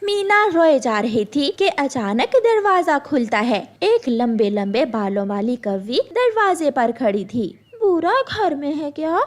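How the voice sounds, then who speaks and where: A woman narrating a scripted children's cartoon story in an expressive storytelling manner. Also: a little high, takes it slowly, few pauses.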